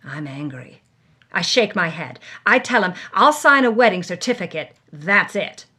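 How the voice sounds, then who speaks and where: A woman's voice: on the low side, very animated, moderate pace, pushed and bright, husky, exaggerated voice. An actress playing a hard-bitten, world-weary woman reading her journal with wry, dramatic emphasis, as first-person audio drama narration. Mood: defiant, rattled.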